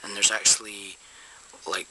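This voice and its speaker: Scottish guy rambling on about a toy, bright tone, bit of hiss. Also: on the low side, flat delivery, measured pace, low-quality recording. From Scotland. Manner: enthusiastic, rambling with many fillers. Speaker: toy reviewer. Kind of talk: casual toy review vlog. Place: small room at a desk.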